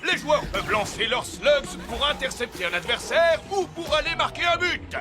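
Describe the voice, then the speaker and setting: A man's voice: very high-pitched, lively intonation, moderate pace, a crowd in the background. A man voicing a jovial, wisecracking sports co-commentator in a French cartoon dub, with a jokey, punchy manner. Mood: explanatory. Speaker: color commentator. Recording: music behind the voice.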